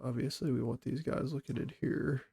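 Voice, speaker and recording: A man with a stuffy, congested voice giving calm, methodical commentary on a tactical game. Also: mid-range voice, normal speed, vocal fry, slightly rough. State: stuffy from a cold. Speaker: gaming channel host and SWAT operator.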